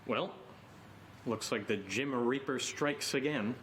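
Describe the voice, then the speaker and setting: An actor playing a sarcastic, wisecracking sidekick in a naturalistic horror audio drama, with a teasing, joking manner. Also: male voice, mid-range voice, slow, a bit bright, slight background noise. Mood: wry, teasing. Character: sarcastic, wisecracking buddy of the hero.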